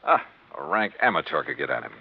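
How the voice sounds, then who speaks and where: A man's voice: very deep voice, very animated, fast, creaky, breathy edge, phone-quality sound, exaggerated voice. An actor playing a wry, skeptical male investigator in a clipped, sardonic 1950s hardboiled radio style. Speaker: lead actor and narrator. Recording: band-limited vintage radio recording.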